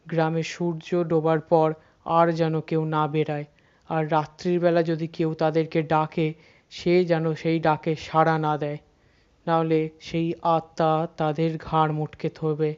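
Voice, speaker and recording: A horror story narrator speaks in a very monotone voice of middling pitch. The delivery leans on suspenseful pauses and repetition. The recording is dull and slightly muffled. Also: clean tone. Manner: dramatic pauses and repeated words for suspense.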